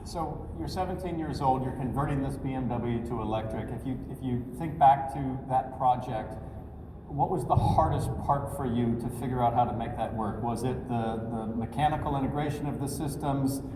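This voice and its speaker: A man's voice: medium pitch, normal speed. A middle-aged man hosting an interview, asking long, friendly questions padded with "uh" and "um.". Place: large indoor auto show hall. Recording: echoey hall, distant mic, crowd noise.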